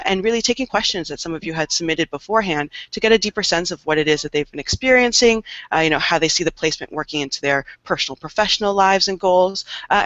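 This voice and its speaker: A woman's voice: on the low side, fairly bright tone, no room sound. Woman, call host, hesitant fillers, dry, lo-fi audio. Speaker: host and facilitator. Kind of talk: live video call Q&A hosting. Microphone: video call. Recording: dull lo-fi video call audio.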